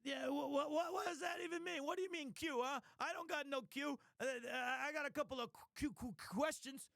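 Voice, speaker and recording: Man doing a bumbling, high-pitched eager detective sidekick. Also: a little fast, dry recording, clean studio sound. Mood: flustered. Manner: stammering, stuttering, tacks "huh" and "sir" onto phrases. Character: bumbling, eager, folksy male police detective, loyal sidekick. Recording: loud music behind.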